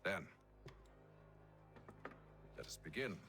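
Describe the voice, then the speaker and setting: Cunning English gentleman, lively low voice. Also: exaggerated voice. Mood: confident. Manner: refined, measured, authoritative. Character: composed, cunning English gentleman leader, coolly commanding. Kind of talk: dramatic video game cutscene acting. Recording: prominent music behind the voice.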